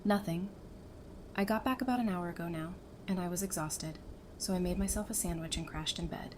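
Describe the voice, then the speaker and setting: A woman's voice: on the low side, brisk, background noise, natural voice. A woman playing a caring, stubborn and playful survivor in an audio drama. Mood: playful, tired. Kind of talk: fan audio drama acting.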